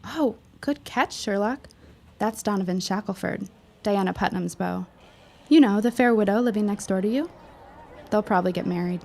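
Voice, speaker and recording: An actress playing a witty, eloquent high school girl with a precise, wry manner in a period audio drama. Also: moderate pace, creaky, a little mellow. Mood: knowing.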